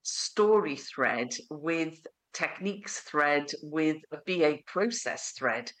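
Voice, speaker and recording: A woman's voice: sits low, animated, slow pace, fairly bright tone. A woman hosting a conversational interview, reflecting back on what guests say and asking affirming questions. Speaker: podcast host, interviewer.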